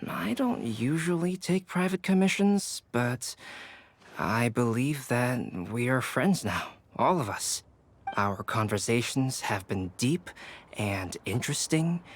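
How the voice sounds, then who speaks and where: A man voicing a calm, cultured young alchemist in a video game, speaking in measured, courteous, formal phrases. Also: medium pitch, slight background noise. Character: calm, cultured young male alchemist and artist, politely thoughtful.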